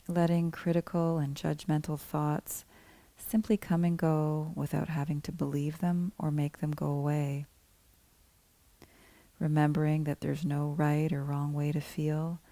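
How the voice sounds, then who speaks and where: Female meditation guide: relaxed dark tone, very unhurried, calm measured instruction. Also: low-pitched, no echo. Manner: calm, unhurried, gently measured phrasing with pauses. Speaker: meditation teacher guiding a body scan.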